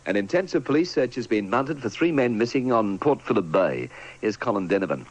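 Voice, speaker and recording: Deep, lively male newsreader. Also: brisk, rough recording. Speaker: radio newsreader. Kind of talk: news reading.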